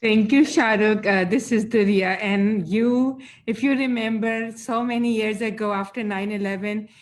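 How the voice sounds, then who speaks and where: A woman's voice: mid-range voice, steady tone, moderate pace. A woman in the audience giving thanks and formal greetings on a video call. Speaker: audience member.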